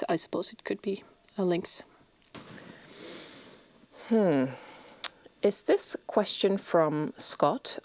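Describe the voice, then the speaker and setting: A middle-aged Norwegian woman speaks with a drifting accent that is part American, part British. Her voice sits fairly low, and she talks slowly and thoughtfully, pausing often on "uh" and "um." The recording is close and dry, with no room sound around her. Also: no room sound. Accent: Norwegian, mixed American and British. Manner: soft-spoken, reflective, hesitant with many fillers. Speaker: farm vlogger answering viewer questions. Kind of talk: casual Q&A to camera.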